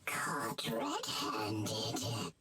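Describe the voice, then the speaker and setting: An actor playing a sneering, theatrical villain mage in a video game, gloating with drawn-out words. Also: mid-range voice, pushed and bright, in a whisper. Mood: gloating, frustrated. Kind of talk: English video game voice acting.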